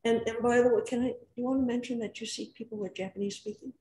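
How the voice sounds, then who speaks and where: Woman panelist, chatty, jumps in, warm mellow voice, echoey room, faint hiss. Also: fairly high pitch, fairly even intonation. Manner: conversational, quick to jump in. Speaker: senior services panelist. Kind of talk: panel discussion on a video call.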